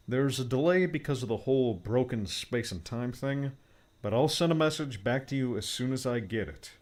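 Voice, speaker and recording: A man's voice: medium pitch, darkish tone, voice pushed up, lightly acted. A man voicing an upbeat, reassuring male tech mentor who explains gadgets in a cheerful manner. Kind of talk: audiobook character voice.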